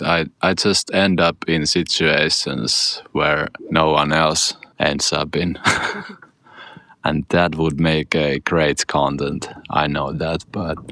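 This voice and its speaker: A Finnish man with a monotone voice, chatting casually in a dry, self-deprecating way on his vlog, laughing often. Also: very deep voice, no room sound. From Finland. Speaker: vlogger. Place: outdoors on a boat.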